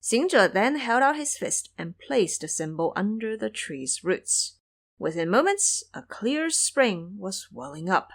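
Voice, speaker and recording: Female narrator for audio drama, measured storytelling delivery, very varied intonation. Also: mid-range voice, sing-song.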